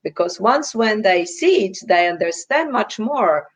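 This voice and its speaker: A woman's voice: medium pitch, animated, measured pace. A woman speaking conversationally as an expert guest in an online interview. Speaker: guest, cultural consultant and educator.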